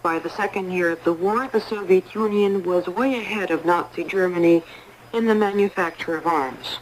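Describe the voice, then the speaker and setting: A woman reading a scripted news bulletin in a formal, measured way, heard over a 1980s shortwave radio broadcast. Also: on the low side, a little hiss or hum, poor audio. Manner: formal, measured announcer delivery.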